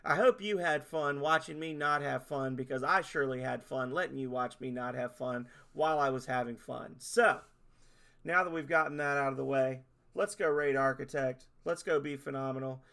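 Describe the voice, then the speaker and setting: A man, a streamer closing his stream in rambling, self-deprecating casual chat, his voice hoarse as he loses it. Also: medium pitch, moderate pace, bright, forceful tone.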